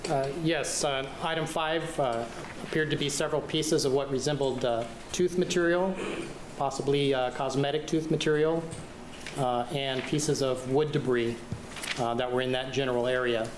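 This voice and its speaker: A man testifying in court as a crime scene witness, hesitant and halting with many fillers. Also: medium pitch, steady tone. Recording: echoey courtroom audio with background hum.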